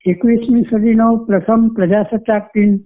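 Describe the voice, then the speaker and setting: A man's voice: high-pitched, steady tone, normal speed. An older man, a retired newsreader, delivering a formal, literary recollection as if from a script. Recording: tinny, phone-like narrow band.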